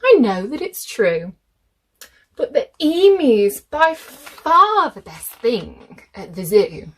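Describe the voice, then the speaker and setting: A woman's voice: on the high side, very animated, slow, exaggerated voice. A woman voicing an opinionated zoo visitor in a children's storybook, declarative and emphatic. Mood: admiring.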